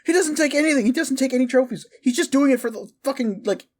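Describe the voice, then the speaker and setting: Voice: high-pitched, fast talker, close to an everyday voice. A performer of unclear sex playing a mischievous, exasperated modern necromancer in a comedic audio roleplay, rambling casually and swearing with incredulity. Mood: scornful exasperation. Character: mischievous, exasperated necromancer prankster, gleefully amoral.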